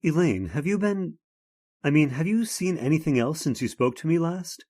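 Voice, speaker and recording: A man playing a concerned, steady young hero in old-time radio horror, speaking in an earnest, dramatic way. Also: fairly high pitch, normal speed. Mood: anxious.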